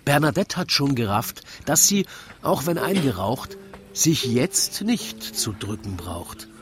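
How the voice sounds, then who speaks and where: A man's voice: mid-range voice, very animated, slow, music in the background. A man with an Austrian accent narrates a radio play in scripted rhyming verse, in a wry storytelling manner.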